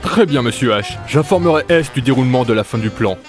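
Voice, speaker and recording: A man performs a suave villain with formal, theatrical menace. His mid-pitched voice moves in lively swings. Clear music sits behind this audio drama. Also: brisk, close to an everyday voice. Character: suave, menacing male villain running illegal auction. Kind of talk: French audio drama dialogue.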